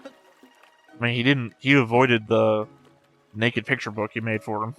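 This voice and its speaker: A man's voice: mid-range voice, fairly even intonation, measured pace, a bit bright, soft background music. A man hosting a reaction video, giving casual off-the-cuff commentary in a musing, speculative way.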